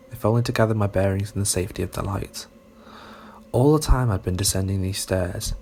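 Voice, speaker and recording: Man with lowish voice reading horror. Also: gravelly. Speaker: reader of a horror story. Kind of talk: scripted first-person story narration. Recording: faint background noise, amateur recording.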